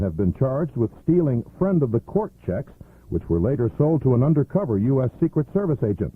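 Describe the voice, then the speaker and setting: A muffled, phone-narrow 1980s radio aircheck of an American man anchoring the news. He reads from a script in a husky voice with lively intonation. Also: medium pitch.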